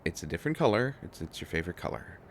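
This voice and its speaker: Deep-voiced, stammering, bashful boyfriend, acted by a man. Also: big pitch swings, a little hiss or hum. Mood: flustered, embarrassed. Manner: halting, stammering, bashful, nervously rambling. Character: shy, flustered, devoted tech-savvy inventor boyfriend, young man. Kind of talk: intimate roleplay audio drama acting.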